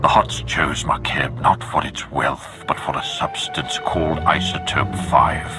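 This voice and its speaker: An extremely deep male voice as a grave Sith lord in a video game. He speaks with formal, deliberate authority, like a commander briefing his chosen agent. Music plays clearly behind him. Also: level tone. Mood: grave, measured. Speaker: military leader giving a mission briefing. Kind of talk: video game cutscene acting.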